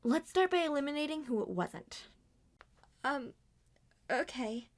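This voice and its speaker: Woman doing high earnest young cartoon character voices, anxious, theatrical murder mystery roleplay. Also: lively intonation. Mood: hesitant, questioning. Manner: theatrical, deliberate acted dialogue.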